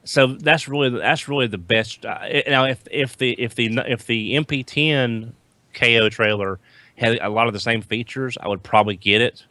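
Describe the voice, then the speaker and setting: A man's voice: mid-range voice, normal speed, bright, forceful tone, no echo. A man chatting casually as a podcast panelist, often doubling back on his phrases. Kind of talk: casual hobby chat.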